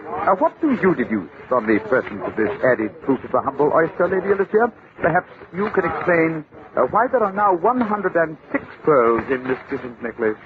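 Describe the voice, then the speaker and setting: A man playing a shrewd, courteous gentleman detective in an old radio drama, speaking in a measured, wry manner. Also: a little high, sing-song. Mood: calm, shrewd. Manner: measured, wry, hesitant asides of polite deduction. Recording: tinny, noisy old radio recording.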